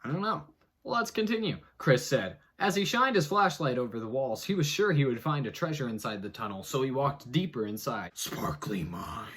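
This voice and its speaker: Man hamming up a dramatic reading, lively swooping pitch, loud-then-hushed delivery, comic asides. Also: moderate pace, breathy edge. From United States. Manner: mock-dramatic, swings between loud and hushed. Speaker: host.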